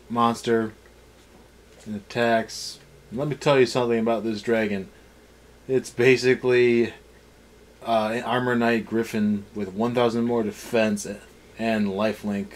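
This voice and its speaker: A man giving a casual, unscripted episode review as a co-host, with a sarcastic, snarky way of talking. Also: medium pitch. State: tired.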